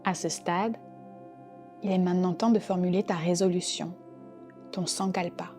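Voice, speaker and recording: A woman with a soft, dark-toned voice leads a guided yoga nidra session. Her delivery is calm and gentle, giving slow, careful instructions. Faint music plays behind her. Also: fairly low pitch. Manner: calm, gentle, unhurried guiding. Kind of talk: guided relaxation meditation. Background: soft background music.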